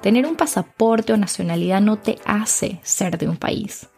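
Upbeat woman host with a gravelly, husky voice. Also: medium pitch, normal speed, darkish tone, soft background music. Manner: chatty and upbeat, stressing words by repeating them. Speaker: podcast host. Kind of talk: podcast intro and interview chat.

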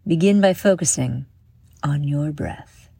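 Slow, low-pitched woman guiding meditation. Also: dark tone, no room sound. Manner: soothing, measured, with breathy exhaled phrases. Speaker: meditation guide and host. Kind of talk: guided meditation, scripted narration.

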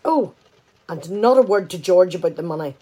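A woman's voice: on the low side, animated, normal speed, mellow, husky. An amateur actress, a woman, playing a practical, firm wife in a conversational domestic radio play.

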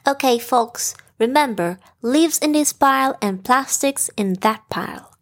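Woman voicing a decisive, high-pitched hoofed animal organizer. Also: animated, measured pace. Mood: instructing. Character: determined, organizing female zebra-like hoofed animal in a children's story.

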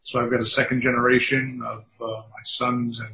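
A man's voice: medium pitch, flat delivery, a little fast, gravelly, bright, forceful tone. Older New York man, chatty guest. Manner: chatty, self-deprecating asides. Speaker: guest, recruiting firm founder. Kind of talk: podcast interview. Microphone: remote call. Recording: dull lo-fi remote audio.

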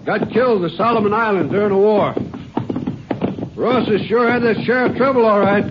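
An actor playing a folksy, sympathetic American small-town sheriff, plain-spoken, in an old-time radio western. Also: male voice, high voice, fairly quick, close to an everyday voice. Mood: regretful. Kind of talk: 1950s radio western acting. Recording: muffled, noisy 1950s radio recording.

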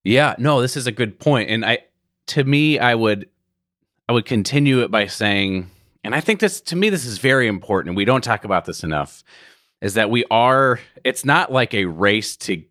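A man in his thirties interviewing a guest in a relaxed, reflective way, often checking in with "right?". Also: medium pitch, moderate pace, no echo, studio quality. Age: 30s. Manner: reflective, tags sentences with "right?". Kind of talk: conversational podcast interview.

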